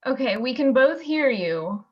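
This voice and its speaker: Radio drama: female actor as a devoted young small-town girl, sweet and unhurried, a little muffled on a video call. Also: sits high, lively intonation, husky, heavily acted. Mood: polite, coaxing. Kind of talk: amateur radio play acting.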